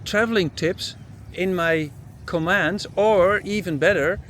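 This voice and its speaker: A man's voice: high-pitched, unhurried, noisy background. A man with a Dutch accent chatting casually and jokily as a talkative travel vlogger and interviewer. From Netherlands. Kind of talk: casual street chat. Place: outdoors by parked camper vans.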